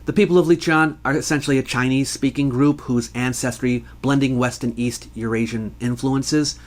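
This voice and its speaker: A man giving scripted educational narration in a measured, formal way with precise enunciation, as the host of a history lesson. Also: mid-range voice, steady tone. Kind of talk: scripted educational narration answering listener questions.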